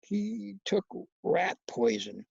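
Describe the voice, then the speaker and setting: Storybook little boy, acted by a reader, earnest, guarded, subdued, husky, lo-fi sound. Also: mid-range voice, very animated, slow pace, dry recording, full character voice. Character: timid, subdued young boy, wary but earnest.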